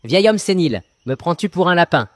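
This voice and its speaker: A man's voice: sits high, animated, fairly quick, no room sound. A man playing a cheeky, argumentative young farm lad in a children's cartoon fairy tale, eager and playful. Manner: cheeky, eager, pun-loving. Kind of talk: children's cartoon storybook acting.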